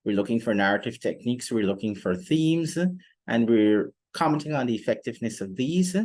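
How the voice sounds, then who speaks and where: A young man presenting an explanatory podcast monologue in a measured, deliberate way with formal phrasing. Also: mid-range voice, expressive, a little mellow. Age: young adult. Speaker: student podcast host.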